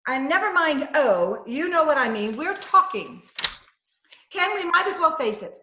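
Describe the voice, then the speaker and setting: A woman's voice: sits high, sing-song, moderate pace, sharp tone, a little hiss or hum, full character voice. Feisty radio-play fiancée and reporter female actor, insistent, swooping delivery, muffled roomy recording. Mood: bossy, impatient. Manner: emphatic, insistent, bickering. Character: feisty, headstrong newspaperwoman, impatient fiancée of her editor. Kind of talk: 1940s radio comedy-drama acting. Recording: echoey, dull video-call sound.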